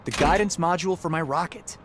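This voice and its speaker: An actor playing an earnest, excitable young rocket inventor in an adventure game, with a boyish, easily flustered, eager manner. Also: male voice, on the high side, fairly quick, a little hiss or hum, lightly acted. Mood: musing.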